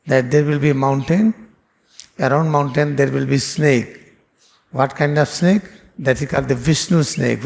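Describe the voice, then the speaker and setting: A man's voice: medium pitch, gravelly, darkish tone. A man, a spiritual teacher, explaining scripture in an earnest, repetitive lecture with phrasing. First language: Hindi.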